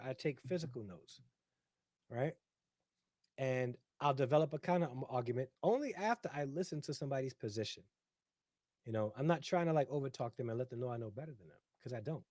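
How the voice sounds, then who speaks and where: A man's voice: mid-range voice, lively intonation, brisk, dark tone, no room sound, clean studio sound. Chatty man hosting a livestream. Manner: talkative, repeats himself, says "right?" often; reads out viewer comments. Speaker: livestream host. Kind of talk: casual livestream commentary.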